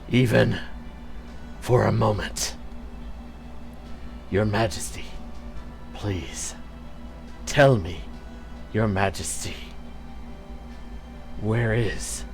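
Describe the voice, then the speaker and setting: A man's voice: fairly low pitch, creaky, exaggerated voice. A man voicing a loyal male fairy beast clan chief who pleads with his queen and later roars in fury. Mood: pleading. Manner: pleading, then roaring with rage.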